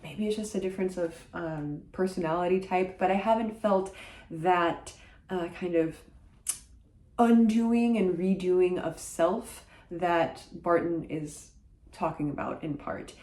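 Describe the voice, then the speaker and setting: A woman whose first language is French talks casually to camera about a book she has read, thoughtful and often pausing on fillers. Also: on the low side, fairly even intonation, darkish tone. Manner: thoughtful, hesitant, many uh fillers. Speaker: book vlogger. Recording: roomy echo.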